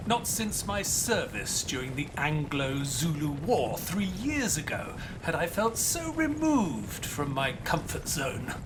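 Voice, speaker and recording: A proper English army doctor, played by a man who narrates his own tale slowly and courteously. His voice is animated and lively, with dry exasperation underneath. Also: on the high side, background noise. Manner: proper, polite, dryly exasperated. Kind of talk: audio drama narration.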